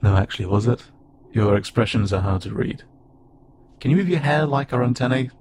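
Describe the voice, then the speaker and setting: A man voicing a friendly, curious, slightly awkward alien spacefarer in a conversational audio roleplay, speaking haltingly and wryly. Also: mid-range voice, animated, noisy background, close to an everyday voice. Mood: teasing, curious. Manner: casual, halting, gently wry. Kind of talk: conversational audio roleplay acting to an unheard listener.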